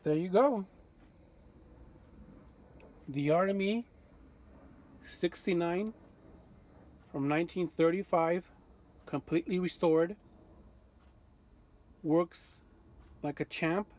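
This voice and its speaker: Higher-voiced man giving a casual repair walkthrough. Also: slow pace, long pauses, darkish tone. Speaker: vintage radio restorer, presenter.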